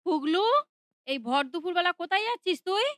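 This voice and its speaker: A woman's voice: very high voice, animated, normal speed, fairly bright tone, no echo, clean studio sound. A woman voicing a bossy, spiteful mother in a cartoon who scolds sharply. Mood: scolding.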